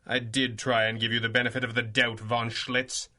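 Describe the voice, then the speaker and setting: An actor playing a cool, wry masked vigilante in a 1930s-style radio adventure serial, speaking with dry, formal sarcasm. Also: male voice, mid-range voice, little pitch movement, moderate pace, sharp tone. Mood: sardonic, defiant.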